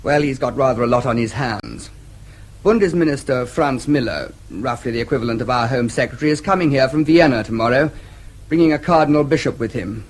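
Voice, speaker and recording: An actor playing a brisk, dry-humoured English diplomat and protective brother in a radio drama, with an English accent. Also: male voice, mid-range voice, slightly rough. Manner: brisk, explanatory, dryly teasing. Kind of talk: BBC radio drama acting.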